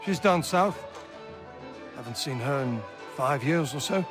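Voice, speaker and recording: A man's voice: medium pitch, lively intonation, unhurried, music in the background, close to an everyday voice. An actor playing a plainspoken, principled, hard-drinking frontier man in a video game, with a blunt, folksy manner. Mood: frank.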